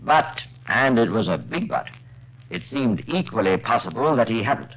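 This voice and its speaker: A man narrating a 1950s radio crime drama in a deliberate, suspenseful scripted style. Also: mid-range voice, big pitch swings, fairly bright tone. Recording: muffled old radio recording.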